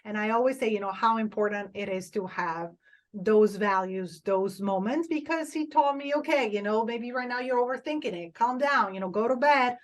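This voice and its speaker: An Italian-accented woman with a fairly high voice talks as a podcast guest. English is her second language, and her speech is earnest and encouraging, dotted with "you know". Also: moderate pace. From Italy. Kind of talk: conversational interview.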